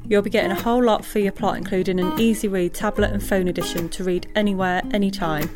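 A woman's voice: medium pitch, fairly even intonation, quick pace. A woman reading a scripted promotional message as an advertisement announcer. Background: plucked guitar music.

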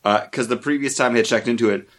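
A man hosting a podcast, talking in a relaxed, rambling way. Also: mid-range voice, fast. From lives in Orlando area. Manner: relaxed, rambling, talks through beer and theme parks. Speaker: podcast host. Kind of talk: casual podcast chat.

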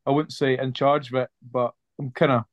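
A man's voice: mid-range voice, little pitch movement, brisk, no room sound. A young man with a Scottish accent, answering casually as a guest in a hesitant, roundabout way, in slightly muffled sound. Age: young adult. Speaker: interview guest, young farmers club member.

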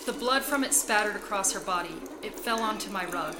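A woman's voice: medium pitch, animated, brisk, loud music behind the voice, close to an everyday voice. An actress playing a haunted, frightened young Sicilian woman who narrates her bloody visions in a radio play. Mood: eerie, subdued. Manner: solemn, vivid storytelling that breaks into panicked cries.

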